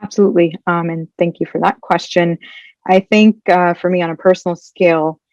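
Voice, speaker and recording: A young woman whose first language is Punjabi answers a conference interviewer, pausing often and choosing her words with care. Her voice sits a bit low, and the recording sounds dull and dry, with no room echo. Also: fairly even intonation, a little mellow. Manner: thoughtful, measured, hesitant. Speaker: guest, PhD candidate.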